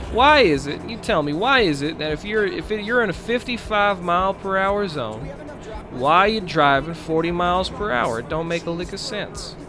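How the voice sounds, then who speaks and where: A man playing a folksy trucker in improvised CB roleplay, rambling and halting. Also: sits high, lightly acted. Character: folksy male trucker telling tall road tales.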